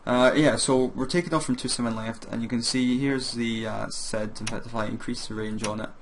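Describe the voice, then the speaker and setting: A man, a bit hoarse from a sore throat, chatting casually as he walks through each step of a flight simulator session. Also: medium pitch, steady tone, normal speed, slight background noise. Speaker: flight sim streamer. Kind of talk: casual gameplay commentary.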